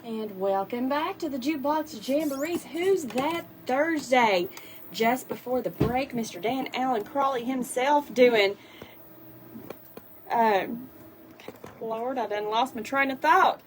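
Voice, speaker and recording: A woman's voice: sits high, lively intonation, slow, slight background noise. Chatty woman radio host. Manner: friendly, rambling, filler-heavy. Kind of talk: live radio chat. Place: radio station studio.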